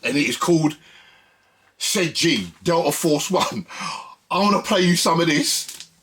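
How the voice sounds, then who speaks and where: A man's voice: a little high, lively intonation, unhurried, a bit bright, a little hiss or hum. A man presenting records in a casual, conversational music commentary, often repeating phrases for emphasis.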